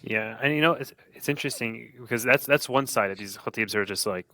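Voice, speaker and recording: A man reflects out loud in a casual podcast conversation. His voice is bright and pushes forward. The recording is dry, with no room sound. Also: mid-range voice, fast talker, no echo. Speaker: podcast co-host. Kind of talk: casual discussion.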